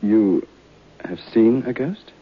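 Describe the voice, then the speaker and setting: An actor playing a polite, earnest young divinity student in a vintage radio drama, a little hesitant and defensive. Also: male voice, lowish voice, slow. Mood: curious, earnest. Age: young adult. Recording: muffled old radio recording.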